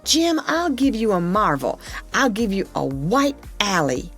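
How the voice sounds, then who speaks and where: A woman's voice: mid-range voice, sing-song, a little slow, fairly bright tone, soft background music, pitched above the performer's own voice. Woman doing a wheedling, scheming young boy. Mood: coaxing. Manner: coaxing, eager.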